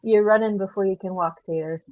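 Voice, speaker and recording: A woman's voice: medium pitch, fairly quick, narrow, tinny audio. A woman guest with a stuffed-up nose, chatting casually and easily as a travel expert. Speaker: guest Disney hotel expert. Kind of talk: casual chat.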